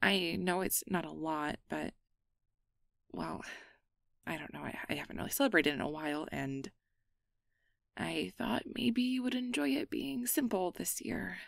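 A woman's voice: on the low side, animated, unhurried, creaky, pauses often, no room sound, clean studio sound, exaggerated voice. A woman playing an awkward, guarded but secretly tender young changeling in an ASMR roleplay, hesitant and stammering as she downplays things. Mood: shy, defensive.

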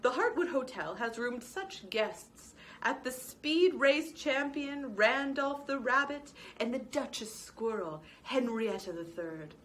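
A woman's voice: on the high side, expressive, slow pace, hardly any pauses, fairly bright tone, slight background noise, pitched above the performer's own voice. A woman playing a fussy, polite lizard clerk. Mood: polite eager. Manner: formal, eager, chatty.

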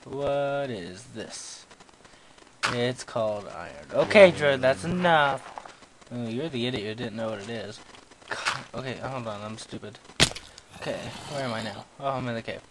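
A young man giving goofy, self-mocking commentary while playing a game, yelling at monsters and talking to himself. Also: mid-range voice, a little slow. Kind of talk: let's play commentary. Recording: noisy home recording.

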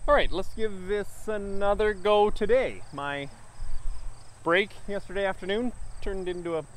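A man's voice: sits high, fairly even intonation, measured pace. A young man, a farmer, talking casually and matter-of-factly for a vlog while working outdoors in a field. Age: young adult. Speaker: farmer vlogger, host. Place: outdoors in an open farm field. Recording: noisy outdoor camera audio.

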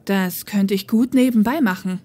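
An actress as a young policewoman in an audio drama. Her voice sits in the middle range with a husky grain. She comes across as modest and down-to-earth, a little unsure of herself. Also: mid-range voice, moderate pace, darkish tone, close to an everyday voice. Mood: friendly. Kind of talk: fan audio drama acting.

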